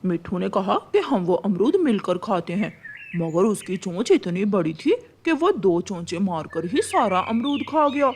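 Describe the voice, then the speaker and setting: A man's voice: high-pitched, big pitch swings, a little slow, breathy edge, heavily acted. Man as a grumbling cartoon pigeon. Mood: aggrieved. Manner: grumbling, tattling. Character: complaining, aggrieved male pigeon in a cartoon.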